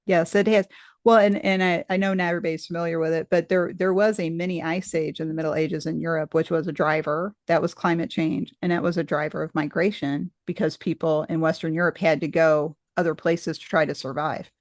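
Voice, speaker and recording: A woman's voice: low voice, fairly even intonation, fairly quick, no room sound. A middle-aged woman hosting an interview, talking in a thoughtful, rambling way with frequent restarts. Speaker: podcast host interviewing a guest. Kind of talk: casual conversational interview.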